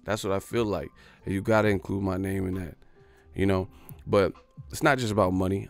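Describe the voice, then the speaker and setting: A man ranting on a livestream in a boastful, profane, streetwise way. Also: low voice. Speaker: boxing YouTuber. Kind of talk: livestream rant.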